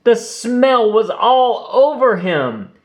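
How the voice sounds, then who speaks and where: A man's voice: very high-pitched, very animated, takes it slowly. A man narrating a children's picture book, reading aloud expressively with theatrical emphasis for young listeners.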